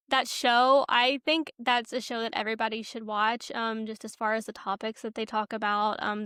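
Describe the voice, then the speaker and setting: Female podcast interviewer, fairly high voice, casual conversational delivery, tight dry recording. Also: fairly bright tone, smooth, no echo. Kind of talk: casual chat.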